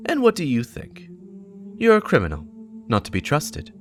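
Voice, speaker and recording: A man's voice: fairly high pitch, wildly swooping pitch, normal speed, voice pushed up. A man voicing a stern female warlock investigator, blunt and challenging, in a dramatic game-lore reading.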